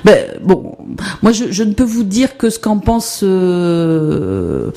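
A woman's voice: fairly low pitch, sing-song, takes it slowly, mellow. A woman giving an academic presentation on education research, hesitant and full of fillers and restarts.

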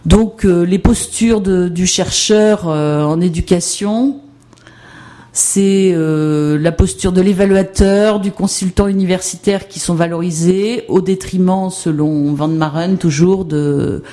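A woman's voice: on the low side, fairly even intonation, slow pace, mellow. Hesitant woman presenting research. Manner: hesitant, many fillers and restarts. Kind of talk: academic presentation.

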